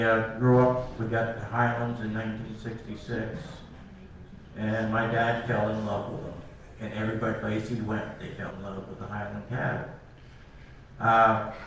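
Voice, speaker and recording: Older farmer guy, low-ish voice, rambling folksy talk, far off in a really echoey hall. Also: fairly bright tone, a little hiss or hum. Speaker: cattle farmer presenter. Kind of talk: informal talk to an audience. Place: large meeting hall.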